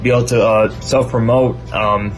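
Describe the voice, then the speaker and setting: A man's voice: mid-range voice, level tone, normal speed, soft background music, poor audio. A man opening a video in vlog style, repeating and restarting his phrases. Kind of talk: vlog intro.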